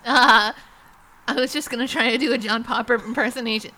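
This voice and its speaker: A woman's voice: a little high, fairly even intonation, brisk, sharp tone, slight background noise, low-quality recording. A woman co-hosting a podcast, chatting casually and prompting the others with playful questions and suggestions. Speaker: podcast co-host.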